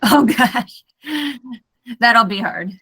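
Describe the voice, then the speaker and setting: American woman, bright and clear, friendly online English tutor. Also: on the high side, very slow. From United States. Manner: friendly, self-correcting, reads text aloud carefully. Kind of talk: casual online lesson.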